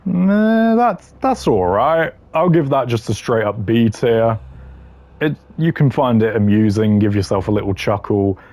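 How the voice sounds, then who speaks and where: A man's voice: mid-range voice, animated, unhurried, a little mellow. A man giving casual, opinionated gaming commentary in a blunt, slangy way, ranking voice lines. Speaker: gamer ranking game voice lines.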